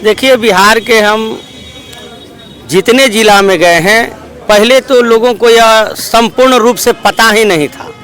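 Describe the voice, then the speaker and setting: A man's voice: high-pitched, a little slow, a bit bright, poor audio. A man, a Buddhist monk giving a repetitive, list-like report in a street interview.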